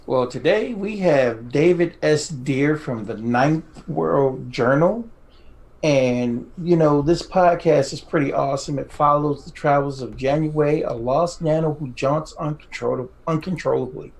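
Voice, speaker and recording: Male podcast co-host, casual chat, slightly high register with plenty of vocal fry. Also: measured pace, darkish tone.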